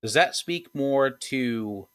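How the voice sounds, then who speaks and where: A man's voice: medium pitch, lively intonation, slow pace, fairly bright tone, clear, resonant tone. A man co-hosting a podcast, laid-back, with short reactions and dry jokes. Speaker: podcast co-host. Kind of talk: casual podcast chat.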